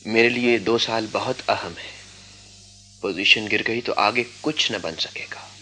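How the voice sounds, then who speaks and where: An actor playing a proud, self-effacing poor young student, speaking politely and formally in a Hindi radio drama. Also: male voice, lowish voice, measured pace, sharp tone, soft background music. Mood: earnest, reluctant. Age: young adult.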